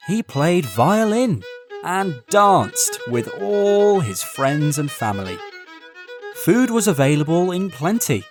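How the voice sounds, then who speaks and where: Slow, very animated children's storyteller. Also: mid-range voice. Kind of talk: scripted children's story narration.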